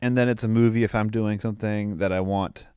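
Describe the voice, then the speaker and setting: A man's voice: fairly low pitch, fairly even intonation, fairly quick, dark tone, clear, resonant tone, dry recording, clean studio sound. A man co-hosting a podcast, giving opinionated, mildly profane takes in casual movie-review chat. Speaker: podcast co-host.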